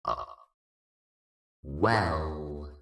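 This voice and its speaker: A performer plays a very deep-voiced, unhurried cat-bird-troll sprite in a fan audio drama. The creature is cocky and playful, drawling its slang coolly. The recording is noticeably roomy and echoing. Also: gravelly, a bit bright, exaggerated voice. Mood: earnest, playful.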